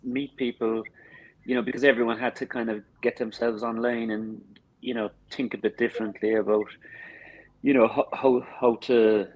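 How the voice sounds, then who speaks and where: A man's voice: medium pitch, flat delivery, moderate pace, no echo, dull audio. An Irish man in his fifties with a Cork accent, a drummer chatting casually as an interview guest, often repeating and restarting his words. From Cork, Ireland. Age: 50s. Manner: hesitant, repeats and restarts words mid-sentence.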